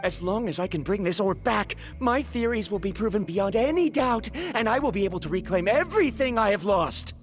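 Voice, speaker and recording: Actor doing an excitable obsessed researcher type, grand and theatrical, very animated pitch, game dialogue with music under it. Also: fairly quick, few pauses, natural voice. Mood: desperate. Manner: dramatic, grandiose. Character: obsessive, excitable scholar desperate to reclaim lost research. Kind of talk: video game voice acting.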